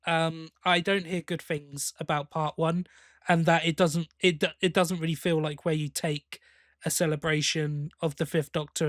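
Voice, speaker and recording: Higher-voiced man in casual podcast chat, dry sound. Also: no room sound. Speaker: podcast co-host and reviewer.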